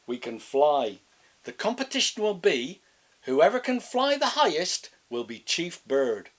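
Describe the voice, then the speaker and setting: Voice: mid-range voice, sing-song, a little slow, voice pushed up. A storyteller voicing a fussy, self-important owl who chairs a bird meeting, in a children's fable. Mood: pompous.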